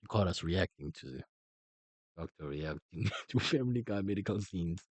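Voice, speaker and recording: A man from South Africa hosting a live stream, chatting casually with viewers in a relaxed, drawn-out way. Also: low voice, dry recording. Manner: drawn-out, relaxed, greeting chat. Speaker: streamer and host.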